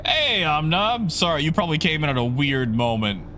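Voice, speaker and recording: A man's voice: fairly high pitch, very animated, moderate pace, bright, forceful tone, slight background noise. A man hosting a livestream, chatting casually and in a rambling, conversational way with his viewers. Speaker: livestream host.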